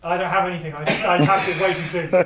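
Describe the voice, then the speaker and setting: Male contestant, high-pitched voice, casual banter over loud crowd noise. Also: fairly even intonation, quick pace. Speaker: quiz contestant.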